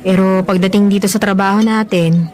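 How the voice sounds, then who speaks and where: An actress playing a kindly, concerned coworker who listens sympathetically in a Filipino radio drama, with conversational delivery. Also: female voice, medium pitch, steady tone, fairly quick, lightly acted. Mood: sympathetic. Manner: gentle, sympathetic, chatty. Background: background music.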